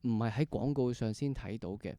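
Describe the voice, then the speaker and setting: A man's voice: medium pitch, a little fast, mellow, no echo. A man with a Hong Kong Cantonese accent hosting a casual interview, hesitating and repeating phrases, with English words mixed in. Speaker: podcast host.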